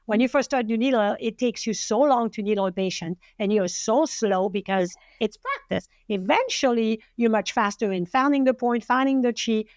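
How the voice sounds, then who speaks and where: A woman with a French accent hosts a podcast conversation, her voice sitting a little high as she talks with animated, encouraging emphasis. The sound is dry and close, with no room around it. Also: normal speed, hardly any pauses, dry recording. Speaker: podcast host.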